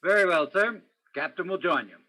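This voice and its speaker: A dutiful spaceship crew officer played by a man in a radio play. He has a fairly high, bright, ringing voice and announces formally. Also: normal speed, low-quality recording. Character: brisk, dutiful male ship's officer calling out readings. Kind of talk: radio drama acting.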